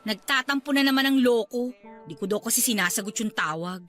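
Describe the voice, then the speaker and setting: A stressed young female medical student in a radio drama. Her voice is high and bright, with lively swings as she complains in an exasperated, irritable way. Music plays behind her. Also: brisk. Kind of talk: radio drama dialogue in Filipino.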